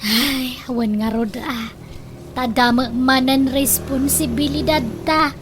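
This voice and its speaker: High-voiced, fussy Ilocano woman. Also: steady tone, takes it slowly, background noise. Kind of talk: comedic radio drama acting.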